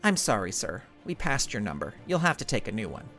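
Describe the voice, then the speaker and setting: A man voicing an unflinching, deadpan female government clerk in a comic story. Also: lowish voice, a little fast, soft background music, pitched below the performer's own voice, exaggerated voice. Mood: flat indifference. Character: unflinching, blank, bureaucratic female DMV clerk.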